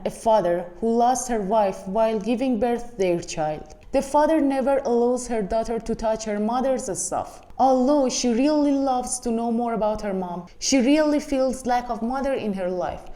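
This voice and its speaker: A woman's voice: a little high, dark tone. A woman from Iran with an Iranian accent, giving a frank, blunt movie review in casual speech and speaking to viewers as friends. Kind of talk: casual spoken film review. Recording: echoey room.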